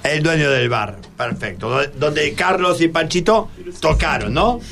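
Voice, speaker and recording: A man with an Argentine accent hosting a radio show, talking informally and a bit haltingly as he interviews students. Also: on the high side, expressive, a bit bright. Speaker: radio host. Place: radio studio. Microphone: studio microphone.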